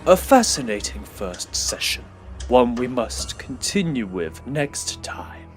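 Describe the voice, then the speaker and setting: A man voicing a menacing, calmly clinical male masked villain in an audio drama, speaking quietly and ominously. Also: medium pitch, soft background music. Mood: cold, menacing. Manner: slow, quiet, ominous, analytical. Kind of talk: superhero audio drama acting.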